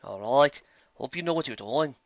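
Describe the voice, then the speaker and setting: A man's voice: mid-range voice, lively intonation, moderate pace, dry recording, dull audio, lightly acted. A man voicing a practical female pony in a plain, matter-of-fact way.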